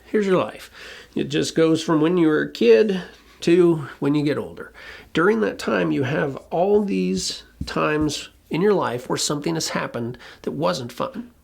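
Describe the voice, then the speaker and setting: A presenter giving an informal explainer talk in a colloquial, emphatic way, with a gravelly, husky voice. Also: mid-range voice, expressive, measured pace, darkish tone. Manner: colloquial, emphatic, occasionally swearing. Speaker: presenter explaining relationship conflict.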